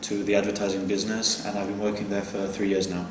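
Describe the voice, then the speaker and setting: Low, monotone teenage boy acting as applicant. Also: fast talker, close to an everyday voice. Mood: earnest. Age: teenage student. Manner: hesitant, frequent restarts. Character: earnest young male advertising job applicant. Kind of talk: semi-improvised school role-play. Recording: echoey room with clear noise.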